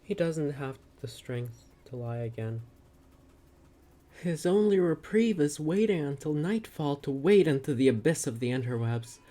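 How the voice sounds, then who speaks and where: A woman's voice: sits low, expressive, a little slow, dark tone. Woman narrating a comic dramatically.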